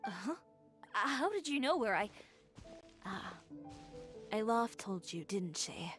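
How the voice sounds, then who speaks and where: A woman's voice: medium pitch, sing-song, slow pace, a bit bright, faint music behind. A woman playing a composed, proud and guarded female dressmaker in a video game. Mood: flustered.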